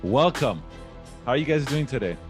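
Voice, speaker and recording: A man's voice: medium pitch, animated, moderate pace, soft background music. A man hosting an interview show in an effusive way, repeating words for emphasis. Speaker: podcast host.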